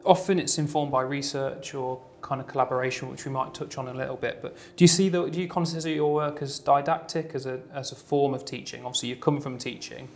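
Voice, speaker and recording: A man's voice: mid-range voice, fairly even intonation, fast, few pauses, faint music behind. A man hosting a live webinar interview, asking friendly, loosely phrased questions with many fillers. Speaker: interviewer host.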